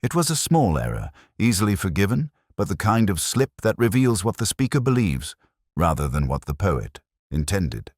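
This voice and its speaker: A man narrating a literary mystery in the first person, in a measured, melancholy and solemn way. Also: low voice, big pitch swings, dry recording, studio quality.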